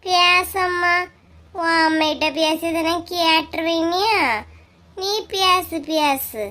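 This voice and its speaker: A woman puts on a nosy old cartoon granny with a very high voice. She drags out her prying, insistent lines in a sing-song Kanyakumari Tamil accent. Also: steady tone, takes it slowly, pushed and bright, exaggerated voice. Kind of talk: comic cartoon voice acting.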